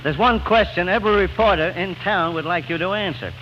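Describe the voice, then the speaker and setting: A man's voice: sits high, brisk, a little hiss or hum. A man from Lancashire, England, a guest trading scripted banter on a 1940s radio show. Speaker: newspaper critic, radio guest. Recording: dull 1940s radio broadcast.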